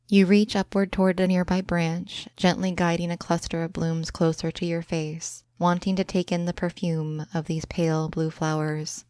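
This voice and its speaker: Soft-spoken woman guiding a meditation. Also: sits low, clean tone, dry recording. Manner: slow, soft and soothing. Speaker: meditation guide. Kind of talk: scripted guided imagery narration.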